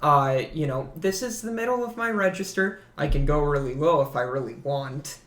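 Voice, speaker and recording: Low-voiced guy in his 20s rambling on a podcast, laughs at himself, echoey room. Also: moderate pace, a bit bright. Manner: rambling, self-mocking, laughs often. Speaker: podcast co-host. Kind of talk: casual chat. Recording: roomy echo.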